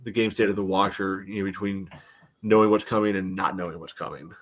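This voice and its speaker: A man with a somewhat low voice gives casual match analysis as a podcast co-host. He reasons things out in a measured way, hedging often with "you know" as he works toward his point. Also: steady tone. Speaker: co-host of a soccer fan podcast.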